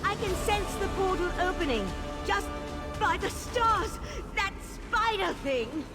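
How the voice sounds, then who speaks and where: An urgent, resolute sorceress from a fantasy video game. Her delivery is theatrical and swings widely in pitch, as if chanting a ritual. Music plays clearly behind her. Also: expressive, takes it slowly, full character voice. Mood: strained, commanding. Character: female mystic casting portal rituals, urgent and resolute.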